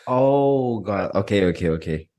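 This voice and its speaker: A man with a fairly low voice hosts a podcast interview. He asks curious, informal questions and answers his guest with short reactions. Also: expressive, measured pace, mellow. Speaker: podcast host.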